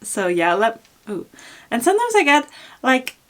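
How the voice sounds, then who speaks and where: A woman's voice: fairly high pitch, sing-song, moderate pace. Chatty German-accented woman vlogger. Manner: chatty, many ums and likes, laughs softly. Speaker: fashion YouTuber. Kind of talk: casual Q&A vlog.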